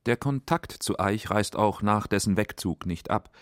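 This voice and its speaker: A man's voice: on the low side, unhurried, dry recording, studio quality. A man reading scripted narration for a radio documentary. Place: dry studio.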